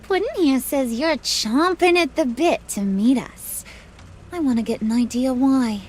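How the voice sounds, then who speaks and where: A woman's voice: high-pitched, a little slow, a little mellow. A woman playing a playful, menacing young female villain in a video game, slangy and mock-sweet in her taunts. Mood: needling. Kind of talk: video game character acting.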